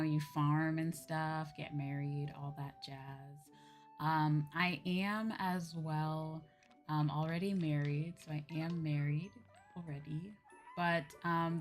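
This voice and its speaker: A woman with a fairly low voice talks as a livestream host, rambling along in an informal, chatty way. Music plays behind her as she speaks to viewers. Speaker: streamer, VTuber host. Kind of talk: casual livestream chat.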